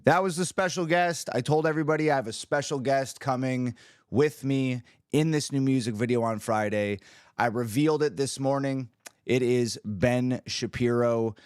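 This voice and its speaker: A man hosting his own livestream, a musician chatting casually with viewers, upbeat and hyped, his voice a little raspy from screaming the night before. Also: mid-range voice, moderate pace, no echo, studio quality. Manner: upbeat, hyped, talks to the chat.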